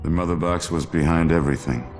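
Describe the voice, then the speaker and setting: A man's voice: extremely low pitch, fairly quick, vocal fry, darkish tone, soft background music. A man playing a grim, terse superhero tactician in a video game, speaking in a clipped, stern way.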